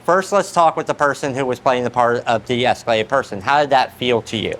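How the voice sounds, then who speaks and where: Male sounding trainer, medium pitch, explaining stuff and coaching people. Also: fairly quick, a bit bright. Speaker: program manager and trainer. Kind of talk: instructional talk and coaching.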